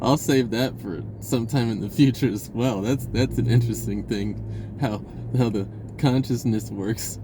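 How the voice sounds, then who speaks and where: A man telling a personal story in a casual, rambling, informal way with an animated, giggly delivery. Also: mid-range voice, noisy background.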